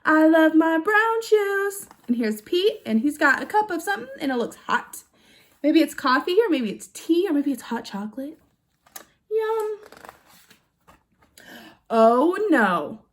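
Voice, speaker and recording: Animated high-voiced woman reading to children. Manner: animated, with gasps and exclamations. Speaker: storybook reader for young children. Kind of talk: read-aloud storytime with questions to young listeners.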